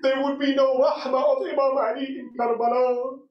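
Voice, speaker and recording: A man's voice: very high-pitched, flat delivery, moderate pace, dull audio, faint music behind. A man delivering an emotional sermon, retelling a sacred story in a dramatic, mournful way. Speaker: religious lecturer. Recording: echoey hall, distant microphone.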